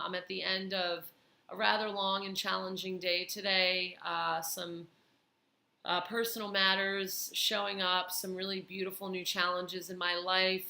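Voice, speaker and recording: Slow, gravelly-voiced woman vlogger. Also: on the low side, sharp tone, reverberant. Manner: slow, thoughtful, with many pauses and fillers. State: tired. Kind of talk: reflective personal vlog.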